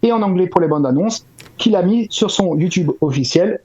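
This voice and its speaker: Dull-sounding, high-voiced man, mellow tone. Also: animated, normal speed, husky. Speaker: show panelist. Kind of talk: casual chat, relaying news.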